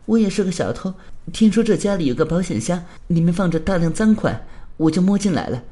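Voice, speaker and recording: A man acting out a young woman thief in an audio crime story, bold, cold and defiant. The pitch is raised and moves about a lot. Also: animated, fairly quick, warm tone. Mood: matter-of-fact.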